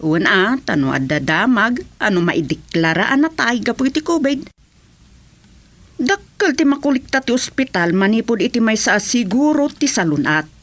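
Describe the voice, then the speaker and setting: Casting: actress as indignant, gossipy barrio councillor, high-pitched and highly expressive, radio serial comedy. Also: female voice, moderate pace. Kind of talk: radio comedy-drama dialogue in Ilocano.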